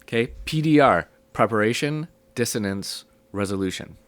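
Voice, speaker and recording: A man's voice: fairly low pitch, moderate pace, no echo. Sick man teaching music theory. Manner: relaxed, thinking aloud with many ums. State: sick, voice low and hoarse. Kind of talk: casual spoken lesson.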